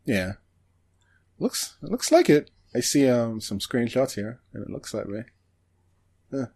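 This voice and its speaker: A man talks casually as a podcast co-host. His pitch sits in the middle of the male range. He speaks in a halting way, as if working out his thoughts while he talks. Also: big pitch swings, creaky, a little mellow. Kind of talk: casual chat about anime shows.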